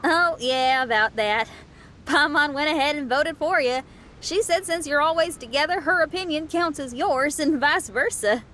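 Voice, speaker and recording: A woman's voice: sits high, normal speed, bright, forceful tone, a little hiss or hum. A woman voicing a friendly, eager young woman adventurer in a video game, speaking casually and cheerfully. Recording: game dialogue over background music.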